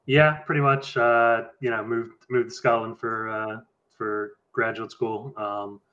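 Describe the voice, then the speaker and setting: A man's voice: on the low side, level tone, measured pace. A young American man chatting casually as a podcast guest, on slightly dull-sounding audio. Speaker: podcast guest, tournament player. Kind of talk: casual chat.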